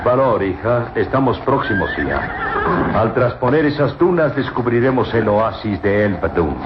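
Padre alentador interpretado por un hombre, voz con eco. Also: male voice, mid-range voice, big pitch swings, moderate pace, lightly acted. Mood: reassuring. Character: encouraging, steady father on desert trek. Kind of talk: radio drama acting. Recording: echoey muffled old radio, heavy noise.

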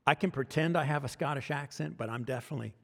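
A man's voice: mid-range voice, fast, vocal fry, a bit bright, in a large hall. A man with an American accent preaching a sermon as pastor, talking conversationally and joking with the congregation. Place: church sanctuary.

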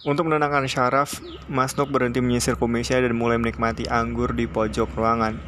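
Male narrator for literary fiction, measured and flat in intonation, mid-range voice, light noise behind. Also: mid-range voice. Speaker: audiobook reader. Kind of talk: scripted literary narration in first person.

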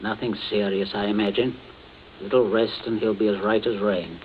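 A man's voice: lowish voice, flat delivery, moderate pace, lightly acted. An actor playing a genteel, menacing middle-aged father in a radio drama, giving polite, clipped orders with false joviality. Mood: genial, unruffled. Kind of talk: old-time radio drama acting. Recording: tinny band-limited old radio, faint noise.

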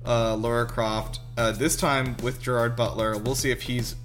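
A man's voice: medium pitch, flat delivery, normal speed, a bit bright, faint music behind. A man hosting a casual group movie review chat in a sarcastic, sweary way. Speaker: host of a movie reaction show.

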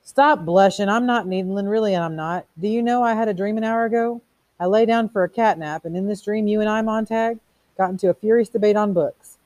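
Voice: mid-range voice, fairly quick, darkish tone, no echo, pitched above the performer's own voice, natural voice. A reader voicing a mocking, erudite male fire captain who declaims quotations theatrically in a storybook reading. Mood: taunting. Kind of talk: audiobook character reading.

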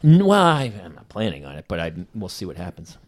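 A man's voice: low voice, wildly swooping pitch, quick pace, slight background noise. A man in his fifties hosting a podcast, bantering and teasing his guest in casual chat. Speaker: podcast host.

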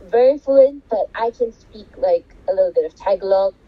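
A woman co-hosting a casual podcast from home over a call, talking loudly because of a bad connection and giving friendly advice. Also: medium pitch, lively intonation, moderate pace, lo-fi sound. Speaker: podcast co-host. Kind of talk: casual chat.

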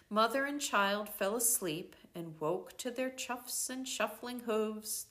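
An older woman reading a devotional book aloud in a gentle, measured way, pausing between phrases. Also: medium pitch. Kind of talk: slow reflective book reading.

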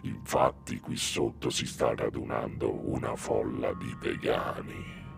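A man's voice: extremely low pitch, steady tone, slow pace, vocal fry, soft background music, heavily acted. A man playing a menacing, contemptuous villain in a comedy radio sketch, speaking pompously and theatrically with insults and gloomy grandeur. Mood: ominous.

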